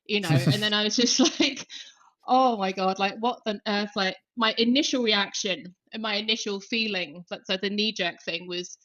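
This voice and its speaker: A woman's voice: mid-range voice, steady tone, normal speed, fairly bright tone, dull audio. A woman guest on a podcast, talking casually with lots of fillers and restarts. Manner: chatty, many fillers and self-corrections.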